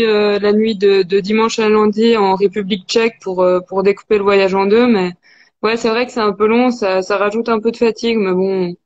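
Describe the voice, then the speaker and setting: A woman's voice: mid-range voice, darkish tone. A woman, answering interview questions casually as an athlete over a video call. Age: 20s. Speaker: athlete being interviewed, cross-country skier. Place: indoors in a wood-panelled room. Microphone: video call, device at arm's length. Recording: dull lo-fi video call sound.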